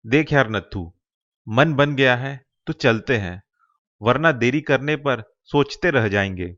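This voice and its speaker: Casting: male actor as ambitious young village man for a cartoon moral tale; earnest, persuasive, mid-pitched, slightly muffled lo-fi sound. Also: measured pace, fairly bright tone, lightly acted. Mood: decisive. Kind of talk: Hindi cartoon moral-story voice acting.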